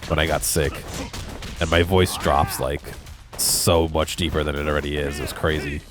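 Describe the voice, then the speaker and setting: A man's voice: extremely low pitch, flat delivery, normal speed, over music. A congested young man with a cold, chatting casually with viewers while playing a game. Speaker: gaming streamer. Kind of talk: casual let's play commentary.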